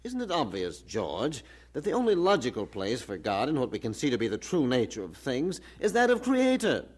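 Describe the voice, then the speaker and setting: An actor playing a learned, condescending professor who argues in a measured, didactic way in a radio drama. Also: male voice, medium pitch, huge pitch swings, breathy edge. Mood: assured, emphatic. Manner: didactic, pompous, measured argument. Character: learned, condescending male university professor arguing patiently.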